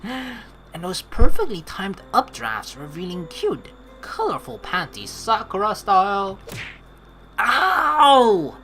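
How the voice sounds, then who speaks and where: A woman doing casual let's play commentary and reading game dialogue aloud in an expressive, playful, sweary way. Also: mid-range voice, takes it slowly, poor audio.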